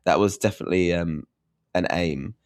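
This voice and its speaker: Young author guy, nasally and stuffed up, lowish voice, dry close mic, laughs at himself a lot. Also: normal speed, clean tone, no echo. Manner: self-deprecating, laughs often, thoughtful with fillers. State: congested from hay fever. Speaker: guest author. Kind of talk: casual interview chat.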